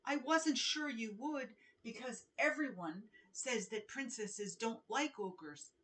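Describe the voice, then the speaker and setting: A woman's voice: on the high side, slow pace, fairly bright tone, far from the mic. A woman voicing a friendly male storybook ogre who is earnest, hopeful and a little unsure.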